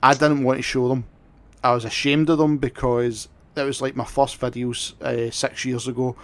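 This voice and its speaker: A man's voice: mid-range voice, little pitch movement, normal speed, no room sound. A man hosting a casual livestream chat, tipsy from drinking, rambling along and swearing freely. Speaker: livestream host.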